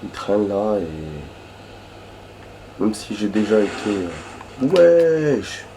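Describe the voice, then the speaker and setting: A man commenting on a video game while hoarse from a cold, rambling chattily and swearing in surprise at the action. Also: on the low side, big pitch swings, extremely slow. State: hoarse from a cold, losing his voice. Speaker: gaming video host. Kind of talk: casual let's-play commentary. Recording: background noise and game sounds.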